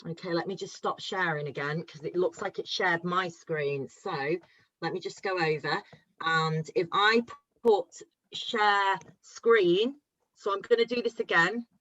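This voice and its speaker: Bubbly woman host on a video call, bright tense voice, kinda dull lo-fi sound. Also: on the low side, measured pace. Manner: bubbly and chatty, fumbling with screen sharing. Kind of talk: casual video call hosting.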